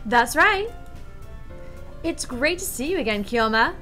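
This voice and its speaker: A poised, sweet schoolgirl, played by a woman, with a very bright, unhurried voice. She greets like a popular club leader in a visual novel, over faint music. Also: high voice, very animated, heavily acted. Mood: sweet, delighted. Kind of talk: visual novel read-aloud character voice.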